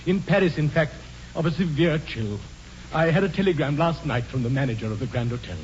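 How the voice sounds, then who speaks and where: An earnest English gentleman, played by a man, with a fairly high voice and formal, hesitant delivery. He is solemn yet a little flustered, in a muffled old radio comedy with faint noise. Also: expressive, brisk, natural voice. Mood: mock mourning. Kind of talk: 1940s radio comedy acting. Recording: dull, noisy 1940s radio broadcast.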